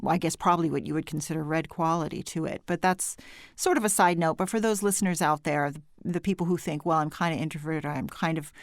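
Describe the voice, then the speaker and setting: A woman from California, hosting a conversation with a lowish voice and a light edge of vocal fry. She talks chattily, laughs often and corrects herself as she goes. The sound is dry and close. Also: quick pace, no echo. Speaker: podcast host. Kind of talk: conversational interview.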